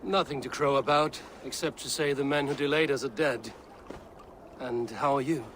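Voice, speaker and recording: An actor voicing a steady male Viking raider hero with a measured, dry-witted delivery in a video game cutscene. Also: medium pitch, slight background noise, close to an everyday voice. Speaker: game protagonist.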